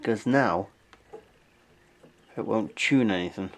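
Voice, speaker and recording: A man's voice: lowish voice, takes it slowly, vocal fry. A man giving a casual, jokey, muttering running commentary as he hosts a hands-on demonstration vlog. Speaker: video host. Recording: noisy amateur camera audio.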